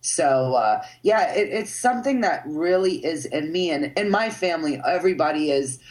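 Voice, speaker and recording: A woman's voice: low voice, lively intonation, normal speed, darkish tone, poor audio. Woman artist guest, loose and rambling, drops swear words, keeps checking you're with her. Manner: rambling, frequent "you know what I mean", casual swearing. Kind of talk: casual interview talk.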